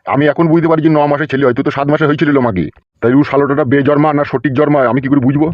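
A man's voice: fairly high pitch, steady tone, rapid-fire, dry recording, muffled recording, close to an everyday voice. A man voicing an argumentative, foul-mouthed village father in a cartoon. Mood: angry scolding. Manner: gruff insults, argumentative.

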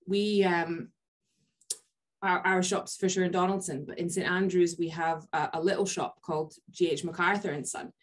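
Low-voiced woman, 30s, podcast co-host, anecdotal, lots of ums, webcam audio. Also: steady tone, normal speed. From Scotland. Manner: rambling anecdotes with many fillers. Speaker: podcast co-host from a family bakery. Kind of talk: casual video call chat. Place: indoor office with posters. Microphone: video call webcam.

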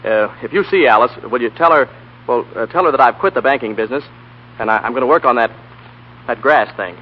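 An actor playing an earnest, hesitant, boyish young suitor in a 1930s radio play. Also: male voice, mid-range voice, quick pace, lightly acted. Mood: pleading, hesitant. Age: young adult. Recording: muffled 1930s radio broadcast, music behind.

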